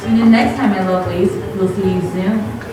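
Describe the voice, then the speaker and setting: A woman's voice: medium pitch, fairly even intonation, normal speed. Woman narrating a spooky radio play. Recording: echoey hall, mic far off, loud music.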